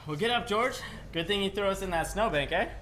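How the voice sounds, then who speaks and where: A man playing a gentle, earnest, childlike elderly angel in a 1940s-style radio play. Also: high voice, lively intonation, brisk, a little hiss or hum. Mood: earnest, explaining. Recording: live stage play with music behind.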